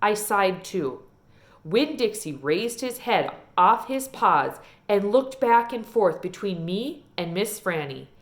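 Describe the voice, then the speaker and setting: Female read-aloud narrator for children's fiction, lively and varied intonation, mid-range pitch. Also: slow. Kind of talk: expressive children's novel narration.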